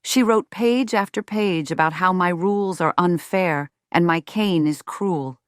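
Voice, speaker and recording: A woman's voice: lowish voice, unhurried, clear, resonant tone, no echo, clean studio sound, natural voice. A woman playing a strict, self-righteous middle-aged mother in a scripted roleplay, speaking to viewers with folksy, sugary condescension. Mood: indignant. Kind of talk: scripted ASMR roleplay monologue.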